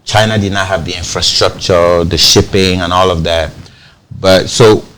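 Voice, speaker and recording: Guy, pretty deep voice, talks a lot in an interview, repeats words starting sentences. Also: fairly even intonation, moderate pace, a bit bright, a little hiss or hum. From Cameroon. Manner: explains at length, repeats words when starting phrases. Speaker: company founder, interview guest. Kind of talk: TV interview, conversational.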